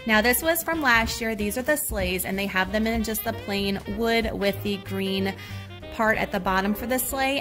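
A woman with a Southern US accent, chatting casually through a shopping vlog, upbeat as she points out items and prices, recorded on a handheld phone in a big store. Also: medium pitch. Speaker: shopping vlogger. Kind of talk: casual shop-with-me narration. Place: large retail store, indoors. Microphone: handheld phone camera.

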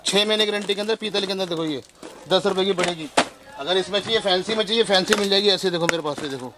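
A wholesale shop owner in his thirties, a man with a high voice who reels off prices at speed. He repeats each number over and over in a steady sales patter. The recording carries clear background noise from the crowded shop. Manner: rapid, repetitive price-counting patter. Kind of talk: sales pitch, listing prices. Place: small indoor shop crowded with shelves.

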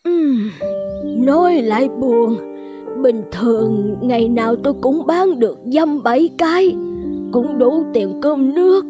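Slow, high-voiced kindly old peddler. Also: female voice, expressive. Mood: rueful. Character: kindly, humble old village woman selling fans. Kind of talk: Vietnamese folk-tale cartoon dubbing. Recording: music behind the voice.

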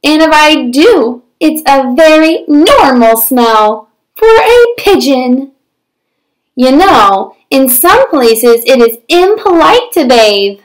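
A woman's voice: high voice, very animated, slow pace, heavily acted, poor audio. A woman voicing a stubborn, dramatic pigeon in a storybook read-aloud, with exaggerated, theatrical delivery. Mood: defensive, indignant. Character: stubborn, dramatic cartoon pigeon avoiding a bath.

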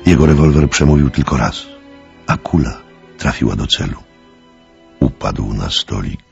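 A man's voice: rumbling low, expressive, moderate pace, gravelly, highs cut off, soft background music. A man narrating a radio play in a scripted storytelling style.

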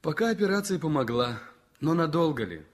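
An actor playing a shy, gravely ill young journalist in a 1960s radio play, speaking in a wistful, self-deprecating way. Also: male voice, on the high side, sing-song, brisk. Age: young adult.

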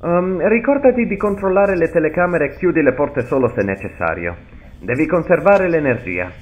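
A man acts out a nervous male night guard from a horror game, heard through a thin, tinny phone line. His pitch swings up and down a lot as he rambles. He hesitates often and tries to sound casually reassuring. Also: expressive, moderate pace. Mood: nervously casual. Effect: telephone filter. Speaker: voice actor in a fan dub. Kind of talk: recorded phone message, game fan dub.

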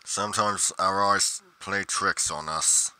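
A man's voice: deep voice, fairly even intonation, slow pace, pushed and bright, clear, resonant tone, exaggerated voice, low-quality recording. A man playing a pedantic, musing paranormal investigator narrating his journal theatrically in a game roleplay, pompous and dramatically emphatic.

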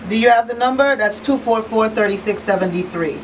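A woman's voice: a little high, fairly quick. A middle-aged woman hosting a radio call-in show in a casual, informal way, thinking aloud as she asks questions. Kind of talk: casual, conversational interviewing. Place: small radio studio. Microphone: studio mic on boom arm. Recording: slightly distant mic, faint noise.

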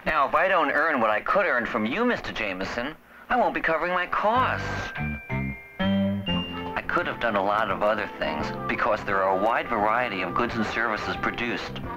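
Male actor as earnest young entrepreneur, explanatory, animated pitch, 1970s educational film, music behind. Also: moderate pace, dull audio, lightly acted. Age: young adult. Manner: explanatory, emphatic. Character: earnest, bespectacled young small-business owner. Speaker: narrator and lead of an educational film. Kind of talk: scripted narration, 1970s educational film acting. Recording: music bed, aged 1970s film soundtrack.

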